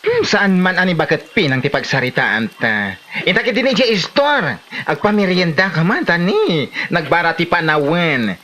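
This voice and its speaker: A woman's voice: on the low side, very animated, normal speed, a bit bright. A woman acting a dismissive, grumbling village woman in an Ilocano comedy drama, with a Filipino Ilocano accent.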